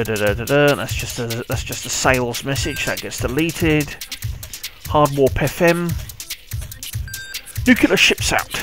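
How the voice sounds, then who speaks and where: A British man giving casual, informal live commentary on a video game, muttering and repeating himself as he plays. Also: mid-range voice. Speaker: gameplay commentator. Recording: game music behind the voice.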